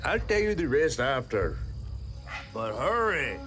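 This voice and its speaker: A man playing a chatty, flattering fish in a video game, with a Southern US accent and flowery, wheedling speech. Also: medium pitch, measured pace. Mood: explaining. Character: folksy, chatty male talking fish, flattering and theatrical. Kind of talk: video game character acting. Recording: music behind the voice.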